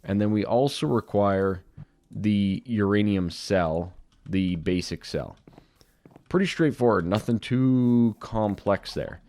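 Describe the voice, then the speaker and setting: A young man with a deep, low voice talks his viewers through a modded Minecraft playthrough in a relaxed, chatty way. His throat sounds a little dry and scratchy. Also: slow. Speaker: gaming video host. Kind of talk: casual Minecraft modpack commentary.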